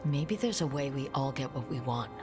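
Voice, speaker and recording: A woman's voice: very deep voice, animated, moderate pace. An actress playing a plainspoken, wry woman adventurer in a fantasy game, speaking casually and directly with a questioning manner. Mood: hopeful, wry. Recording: studio voice over game music. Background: background music.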